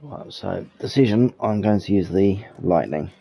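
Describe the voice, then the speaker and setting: A man's voice: fairly low pitch, animated, normal speed, gravelly, mellow, breathy edge, soft background music. A British man hosting casual flight game commentary in a rambling, self-correcting way. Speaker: gaming channel host.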